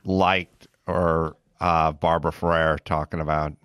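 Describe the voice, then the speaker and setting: A man's voice: extremely low pitch, level tone, a little slow, a bit bright, smooth, dry recording. Middle-aged man, dry close sound, rambling exasperated hypotheticals, podcast host. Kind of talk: casual podcast talk. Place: podcast studio. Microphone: studio boom mic with headphones.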